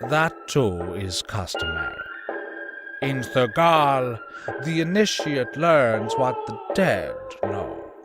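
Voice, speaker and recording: Man playing a slow, menacing monk. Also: medium pitch, heavily acted. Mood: calm, courteous. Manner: formal, measured, ceremonious. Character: serene, menacing male monk who serves a dark master. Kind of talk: motion comic voice acting. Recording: loud music under the voice.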